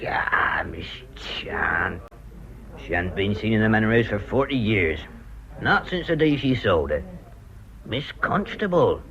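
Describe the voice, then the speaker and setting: Folksy village bloke in a radio play, gossipy, very up and down voice, bit muffled. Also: male voice, on the low side, big pitch swings, measured pace, vocal fry, fairly bright tone, full character voice. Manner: folksy, clipped, dialect phrasing. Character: gossipy, loyal, cagey village man, a war veteran. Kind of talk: British radio drama acting. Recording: dull, muffled radio recording.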